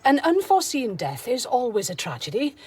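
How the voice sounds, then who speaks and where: A woman's voice: on the high side, wildly swooping pitch, fairly quick, slightly rough, full character voice. A woman playing a curt, defensive retiring nurse in her sixties in a radio drama. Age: 60s. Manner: brusque, defensive.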